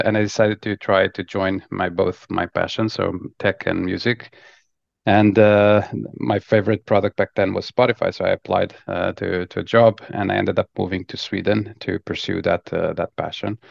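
A man's voice: on the low side, vocal fry, dry recording. A man, talking casually as an interview guest on dull, dry lo-fi audio. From Lisbon, Portugal. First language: Portuguese. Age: 40s. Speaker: podcast guest, technology executive.

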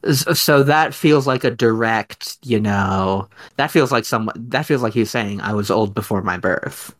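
A man with a somewhat low voice hosts a casual podcast discussion. He speaks like an amateur scholar working out a theory, halting often and rambling as he thinks aloud. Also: a bit bright. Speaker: podcast host.

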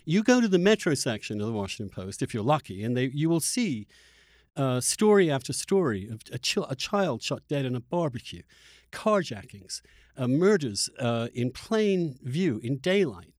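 A man discussing politics in an opinionated interview style, speaking haltingly and emphatically and often repeating phrases for weight. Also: mid-range voice, big pitch swings, a little mellow, no echo, studio quality. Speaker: podcast host.

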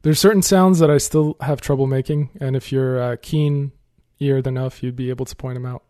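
A man's voice: mid-range voice, steady tone, moderate pace, warm tone, no room sound. A man whose first language is Arabic, hosting a podcast in a casual, dryly humorous way. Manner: dry, self-deprecating humor. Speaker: podcast host. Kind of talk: casual chat.

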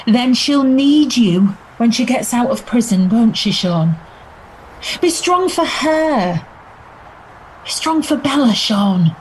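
Woman playing a slow, steady female detective. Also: fairly high pitch, slight background noise, low-quality recording. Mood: calm, coaxing. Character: calm, steady, persuasive female detective inspector. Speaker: actor playing a detective inspector. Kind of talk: audio drama acting.

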